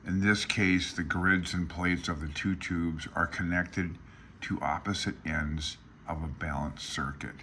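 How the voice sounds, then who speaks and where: Male instructor, extremely low pitch, bright edge to the voice, folksy and offhand while reading and explaining. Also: fairly even intonation, a little slow, a little hiss or hum. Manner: folksy, offhand, thinking aloud. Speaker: instructor reading through a radio handbook. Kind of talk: informal explanation mixed with reading aloud.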